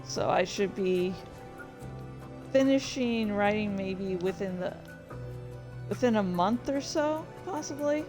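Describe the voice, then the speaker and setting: An unhurried woman livestreamer with a middle-pitched voice, talking casually over faint music. She rambles along, narrating what she does as she plays and breaking into exclamations. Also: lively intonation. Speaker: livestreamer and host. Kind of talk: casual livestream chat while playing a game.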